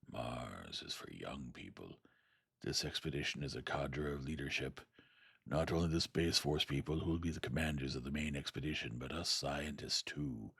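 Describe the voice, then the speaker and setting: A man voicing an old male scholar who speaks in a soft, slow, hushed and weary way. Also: extremely low pitch, creaky, breathy edge, dry recording, studio quality, heavily acted. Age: older.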